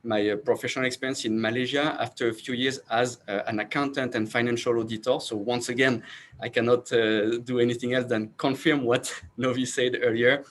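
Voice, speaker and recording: A man with a French accent introducing himself on an online panel, hesitant, repeating words and filling pauses. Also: mid-range voice, steady tone, slight background noise. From France. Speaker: invited panel speaker. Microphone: video call.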